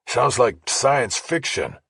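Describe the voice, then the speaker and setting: A man acts out a male newsroom colleague in a thriller audiobook. He is brisk, blunt and questioning, with a very rough husky voice in a dry recording. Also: medium pitch, lively intonation, a bit bright, no echo, voice pushed up, heavily acted. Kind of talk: audiobook character dialogue.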